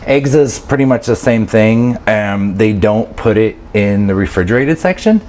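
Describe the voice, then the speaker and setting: A man's voice: lowish voice, normal speed, a little hiss or hum. Chatty man vlogging to camera. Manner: chatty, animated, laughs often. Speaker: travel vlogger. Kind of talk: casual talk to camera. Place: grocery store, then small apartment room indoors. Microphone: camera mic, handheld then fixed camera close up.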